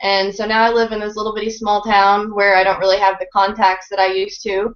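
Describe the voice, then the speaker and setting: A woman's voice: mid-range voice, little pitch movement, fairly quick, highs cut off. A woman introducing herself on a casual online group call in a chatty, informal way. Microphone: computer mic on a video call.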